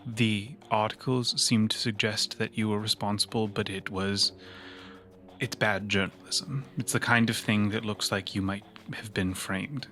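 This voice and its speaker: A man playing a consoling, remorseful investigator in an improvised cyberpunk tabletop game, earnest and halting. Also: lowish voice, fairly even intonation, bright, forceful tone. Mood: confessing, apologetic.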